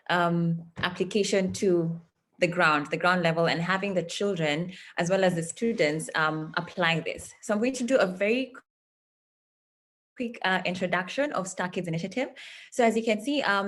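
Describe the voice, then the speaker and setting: A woman from Kenya, founder of a nonprofit, giving an earnest, measured presentation on a video call with frequent fillers. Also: mid-range voice. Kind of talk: online conference presentation.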